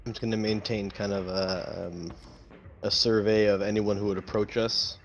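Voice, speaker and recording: Lowish-voiced man roleplaying over music. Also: level tone, moderate pace. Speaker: tabletop game player. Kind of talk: casual roleplay, describing his actions. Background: background music.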